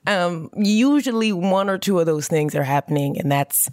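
Brief: woman podcast guest, brash storyteller, fairly low pitch, no room sound. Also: normal speed, dry recording, clean studio sound. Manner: sassy, animated storytelling. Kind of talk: casual podcast chat.

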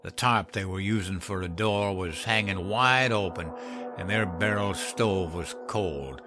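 A man voicing a gruff, cynical old country man with a folksy drawl, telling of hard times in a horror story. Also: deep voice, unhurried, sharp tone, soft background music. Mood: somber, firm. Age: older. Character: cynical old country man recounting grim Depression-era hardship. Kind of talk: dramatized horror story narration.

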